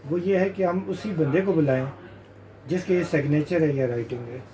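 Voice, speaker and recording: A man's voice: fairly high pitch, normal speed, mellow, rough recording. A man with a Pakistani accent explaining law as a lawyer, informally and methodically, point by point. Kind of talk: informal spoken legal explanation.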